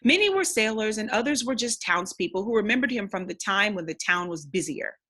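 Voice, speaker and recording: A woman's voice: medium pitch, expressive, a little fast, pushed and bright. A woman reading a storybook aloud expressively, in the instructive, simple manner of a teacher with young listeners.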